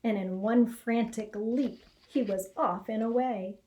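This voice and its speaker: An American woman reads a children's picture book aloud. Her voice sits fairly high and she takes her time, telling the story expressively. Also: measured pace, darkish tone, low-quality recording. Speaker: elementary school educator reading aloud. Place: small indoor classroom or office.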